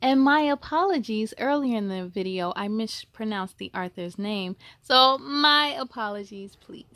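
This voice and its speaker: A woman reading a children's story aloud as host, expressive and exclamatory, speaking to young listeners. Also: on the high side, no room sound. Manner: slow, deliberate, exclamatory delivery for children.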